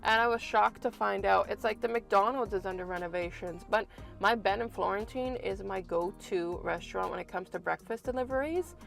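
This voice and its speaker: A woman sounding raspy and congested from a cold, her mid-pitched voice often dipping into gravelly fry. She vents frankly to her viewers about delivery work, restarting her sentences as she goes. Faint music plays under her voice. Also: normal speed. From Canada. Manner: frank, exasperated ranting with many restarts. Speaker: food delivery driver and vlogger. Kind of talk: casual vlog talk to camera.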